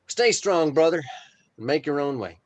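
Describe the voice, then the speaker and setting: A man playing a solemn, affectionate older brother in a script table read, speaking in formal, measured phrases. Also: on the high side, lively intonation, no echo. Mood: fond farewell.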